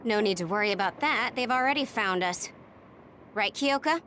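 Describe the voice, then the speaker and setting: A woman's voice: mid-range voice, animated, moderate pace, music in the background, natural voice. A woman playing a blunt, skeptical female soldier in a video game, with a dry manner. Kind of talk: English video game voice acting.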